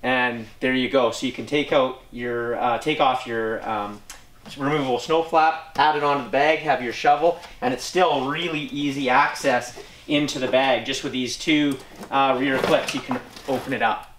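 A man's voice: mid-range voice, moderate pace, fairly bright tone. Male presenter, 40s, casual and upbeat product demo, lots of fillers, roomy indoor sound. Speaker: snowmobile gear dealer presenter. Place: indoor showroom beside a snowmobile. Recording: echoey indoor room.

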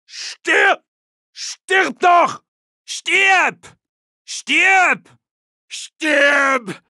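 An actor playing a frantic, hostile German soldier, barking urgent combat shouts for a video game. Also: male voice, extremely high pitch, animated, drawn-out words, no room sound, clean studio sound, exaggerated voice.

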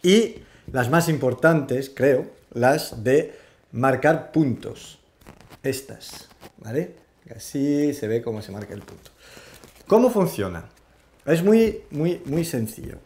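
A man, hosting a casual board game explainer in a halting way, searching for words. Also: mid-range voice, lively intonation, darkish tone. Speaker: host of a board game show. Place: small indoor room with game shelves.

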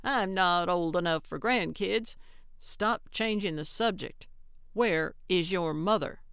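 A woman's voice: lowish voice, takes it slowly, vocal fry, fairly bright tone, clean tone, dry recording, clean studio sound, exaggerated voice. A woman voicing a brusque, cranky older woman in an audiobook, snappish and blunt but well-meaning. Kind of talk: audiobook character acting.

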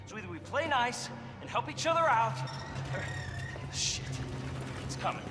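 A high-pitched, desperate male prisoner. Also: sing-song, normal speed. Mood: panicked. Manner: pleading, sweary. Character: desperate, cornered male prisoner bargaining for escape. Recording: hall reverb, loud game music behind.

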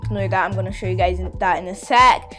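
A woman's voice: medium pitch, steady tone, fast talker, a bit bright. A young girl hosting a casual, playful game tour, chatting to viewers in an exclamatory way over background music. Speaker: gaming video host.

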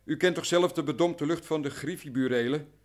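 An actor playing a cautious, worn-down merchant in a radio drama, explaining things formally and carefully. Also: male voice, fairly high pitch, fairly quick, close to an everyday voice. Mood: weary, explanatory. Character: cautious, anxious, worn-down male grain merchant.

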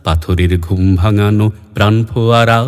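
A man's voice: on the low side, moderate pace, darkish tone, smooth. Male reciter, for dramatic verse. Declamatory and rhythmic, set in a long echoing reverb. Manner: rhythmic, declamatory, with rising refrains. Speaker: poetry reciter. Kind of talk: dramatic Bengali poetry recitation.

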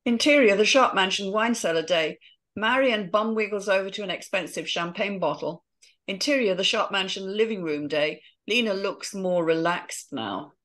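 A woman reads scene headings and actions from a script in an even, mid-pitched voice. She keeps it plain and clear, as in a video-call table read. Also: normal speed. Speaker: reader of stage directions. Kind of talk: reading stage directions.

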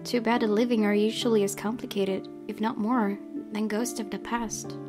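A woman hosts a game playthrough, reading the narration aloud. Her voice is medium in pitch. Plucked guitar music plays clearly behind her. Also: level tone, normal speed. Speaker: let's play host reading game text.

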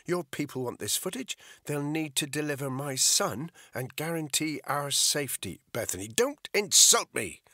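A man's voice: a little high, normal speed, hardly any pauses, bright, forceful tone, dry recording, studio quality, pitched above the performer's own voice. A man voicing a volatile, dangerous woman who hisses and whispers her scorn. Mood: defiant.

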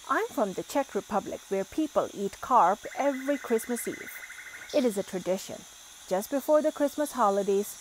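A woman reading a children's picture book aloud, expressive and lively in the exclamations. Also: medium pitch, a little hiss or hum, rough recording. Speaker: storybook reader.

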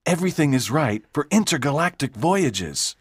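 An earnest teenage boy, voiced by a man in a dramatized story. His pitch moves in a lively way as he explains things eagerly. The recording is close and dry. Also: normal speed, slightly rough, no room sound. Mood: excited. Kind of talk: dramatized audiobook dialogue.

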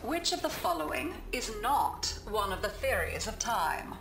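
Female lesson computer, calm, slow, instructive, echoey, music behind, sci-fi game. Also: mid-range voice. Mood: measured, formal. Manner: measured, instructive. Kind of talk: scripted educational narration.

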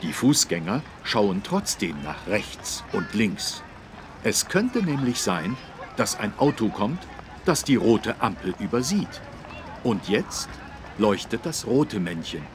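A man's voice: fairly low pitch, very animated, slow. An actor playing a friendly policeman who patiently explains things to children in a kids' game. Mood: patient, instructive. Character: friendly adult male policeman explaining road safety. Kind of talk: scripted children's game dialogue. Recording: street traffic noise behind. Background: city street traffic.

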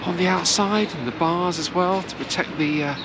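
A woman's voice: on the low side, normal speed. A middle-aged woman presenting a walking-tour travel vlog to a camera held at arm's length. She talks in a chatty, meandering way with frequent hesitations. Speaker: travel vlogger. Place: outdoors in sunny city squares and streets. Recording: outdoor street noise and traffic.

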